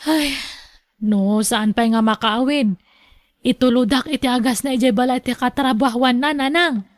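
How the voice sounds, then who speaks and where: An actress with an Ilocano Filipino accent playing a young, dutiful but fiery wife in a radio drama. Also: female voice, on the high side, moderate pace, no echo, lightly acted. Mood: explaining.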